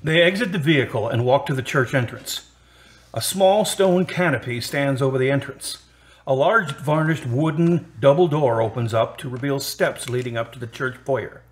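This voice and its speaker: A man's voice: medium pitch, moderate pace. A man reading scripted narration for a radio drama as its narrator. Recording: music under the narration. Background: music.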